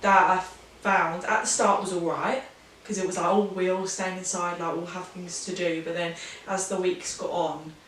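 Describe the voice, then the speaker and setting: A woman's voice: lowish voice, steady tone, moderate pace, a bit bright. A woman of eighteen from Bromley, speaking casually and bluntly. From Bromley, London. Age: late teens. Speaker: interviewee. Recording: very echoey room, distant mic, faint noise.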